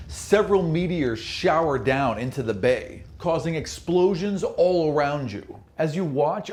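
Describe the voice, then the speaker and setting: A man's voice: on the high side, darkish tone, slight background noise. A man working as game master, improvising narration for a tabletop fantasy game.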